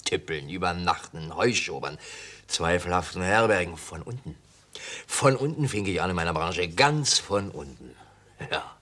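A man's voice: low voice, animated, moderate pace, bright, forceful tone, full character voice. An actor playing a boastful, jovial and unsuspecting travelling salesman in a German radio play, a man with a self-satisfied, chuckling way of talking. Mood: wistful, proud.

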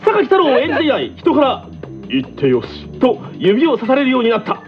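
A man hosting a radio talk show, reading out quiz questions in a lively, laughing manner. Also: very high voice, brisk. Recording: dull lo-fi radio recording with music behind.

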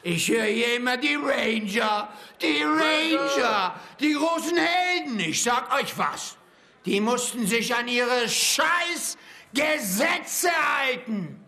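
An actor in a German radio drama playing a boastful, ruthless western killer, speaking with sneering, emphatic scorn. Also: male voice, very high-pitched, lively intonation, slow pace, sharp tone, full character voice. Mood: scornful, boastful. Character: boastful, ruthless old-west hired killer.

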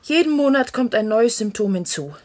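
An actress playing a troubled, worn-down office colleague on a dying Earth in a 1970s German sci-fi radio drama. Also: female voice, on the high side, expressive, normal speed, lightly acted. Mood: troubled, weary.

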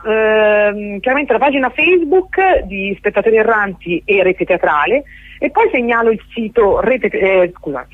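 A woman's voice: medium pitch, slightly rough, dry recording. Phone-line sound, woman, dry, hesitant, explanatory, interview guest. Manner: hesitant, searching for words, long-winded. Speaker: festival organiser interviewed as guest. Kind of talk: live radio interview, explanatory. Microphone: telephone. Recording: narrowband telephone line on radio.

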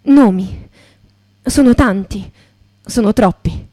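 Slow, grave female witness. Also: medium pitch, warm tone, no room sound. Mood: grave, poetic. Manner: measured, short broken phrases. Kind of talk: poetic radio theatre, spoken and sung.

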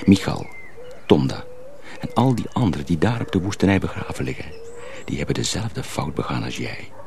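A man playing a sly young miller's apprentice who poses as a simpleton, speaking confidingly and deliberately in a radio drama. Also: low voice, big pitch swings, vocal fry, a little mellow, full character voice. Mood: earnest warning. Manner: deliberate, hesitant, confiding, repeats himself. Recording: faint background noise.

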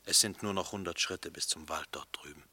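A brooding romantic in a poetic radio play. The low voice is very bright and forward yet rough-edged and husky, often near a whisper, with deliberate, intimate phrasing. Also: male voice, dry recording, heavily acted. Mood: tender, quiet. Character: brooding, dreamy male lover speaking in dark poetic images. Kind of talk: German radio play acting, poetic and hushed.

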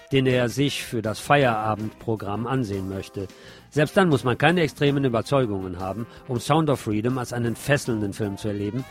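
Man hosting radio, music behind him. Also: medium pitch. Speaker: radio host. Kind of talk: scripted film commentary on alternative radio.

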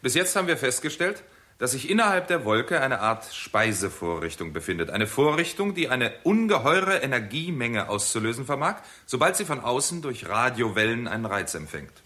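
An actor playing a systematic researcher who lays out findings in an orderly way, in a 1960s German radio play. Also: male voice, mid-range voice, very animated, moderate pace, sharp tone. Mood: explanatory.

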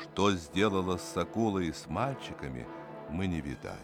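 A man reads a story as an audiobook narrator, in an expressive, suspenseful storytelling manner. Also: deep voice, normal speed. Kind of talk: dramatic scripted story narration. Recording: music behind the voice. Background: music.

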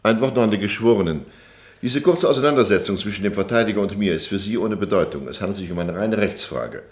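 Authoritative low-voiced judge played by a man. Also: lively intonation, close to an everyday voice. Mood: firm, clarifying. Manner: formal, measured, instructive. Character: authoritative, orderly male English judge. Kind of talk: German radio play courtroom acting.

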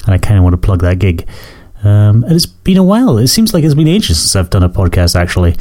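A man hosts his podcast while hoarse and croaky with a cold. His low, mellow voice rushes along at a quick clip. He rambles casually and keeps correcting himself mid-sentence. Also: big pitch swings. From Scotland. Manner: rambling, self-correcting, apologetic. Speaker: podcast host. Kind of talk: casual solo podcast chat.

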